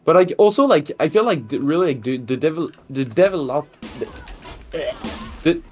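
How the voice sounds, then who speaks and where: A French-speaking man from Quebec with a Québécois accent, chatting casually about games in a halting way, full of fillers and restarts. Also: a little high, expressive, faint music behind. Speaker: speedrun verifier. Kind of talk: casual gaming chat.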